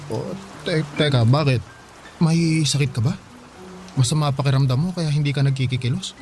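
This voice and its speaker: Man acting a high-pitched, protective Filipino husband. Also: moderate pace, darkish tone, faint music behind, natural voice. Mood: worried. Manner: caring, earnest, fussing. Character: devoted, protective husband, excitable soon-to-be father. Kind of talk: radio drama acting in conversational Tagalog.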